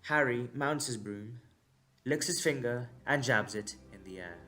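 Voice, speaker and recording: Slow, deliberate male storyteller. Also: mid-range voice, lively intonation, bright, forceful tone. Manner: measured, deliberate pauses. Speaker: narrator. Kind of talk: scripted dramatic narration.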